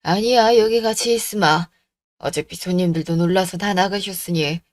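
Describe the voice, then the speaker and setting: A woman voicing a soft-hearted, hesitant middle-aged mother in a radio drama story. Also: fairly low pitch, moderate pace, dry recording, studio quality, pitched below the performer's own voice, lightly acted. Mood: worried, hesitant.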